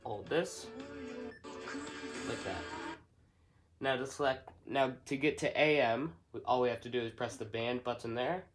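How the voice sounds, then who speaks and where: A man giving a casual, somewhat halting hands-on product demonstration, explaining step by step. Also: mid-range voice, faint music behind. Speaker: hobbyist product reviewer.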